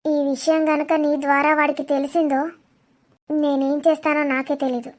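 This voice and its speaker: A woman's voice: high voice, little pitch movement, moderate pace, natural voice. A woman playing a ruthless, scheming mother who is possessive of her son and makes cold, menacing threats. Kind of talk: melodramatic audio drama.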